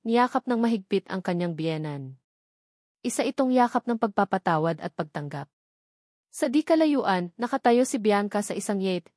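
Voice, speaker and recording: A woman's voice: mid-range voice, a little mellow, no room sound, clean studio sound. A woman reading a scripted romantic drama as an audiobook narrator.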